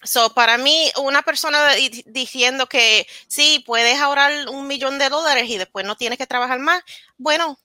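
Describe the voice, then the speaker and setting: A woman's voice: high voice, moderate pace, sharp tone, no room sound. A woman in her thirties from New Jersey, telling her story candidly in a casual interview and slipping into words, her language. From New Jersey, United States. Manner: switches into English words mid-sentence. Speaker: interview guest.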